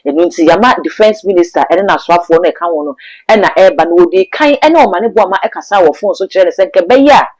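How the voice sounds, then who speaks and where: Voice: medium pitch, expressive, fast talker, dry recording. A news narrator delivering a scripted report.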